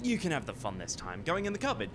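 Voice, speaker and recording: An actor plays a male scientist in a radio farce, cheeky, broke and always scheming. His pitch swings widely as he wheedles and protests. The home recording has clear noise and a low rumble. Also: quick pace. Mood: eager, persuasive. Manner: incredulous, wheedling, animated.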